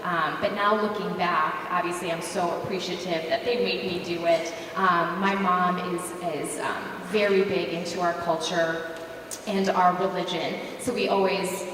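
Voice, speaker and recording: A woman's voice: lowish voice, steady tone, measured pace, far from the mic. Earnest woman panelist in echoey hall. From United States. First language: Polish. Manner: earnest, many fillers, rising to emphatic. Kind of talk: panel discussion answer. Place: large conference hall. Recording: echoey hall, mic from afar, noisy.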